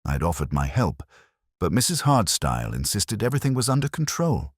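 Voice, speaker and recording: A man's voice: on the low side, sing-song, brisk, no echo, clean studio sound, voice pushed up. A man voicing a prim, fussy village woman in a mystery story, speaking in a stiff, tight, defensive manner. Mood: defensive, bristling. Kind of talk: audio mystery story dialogue.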